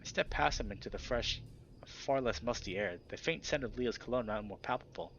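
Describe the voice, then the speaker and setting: A man reading a visual novel aloud and commenting casually as a video host, expressive when he reacts. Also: medium pitch, fairly quick, a little hiss or hum. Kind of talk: casual let's play commentary and narration. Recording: slightly dull recording with background music. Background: game music.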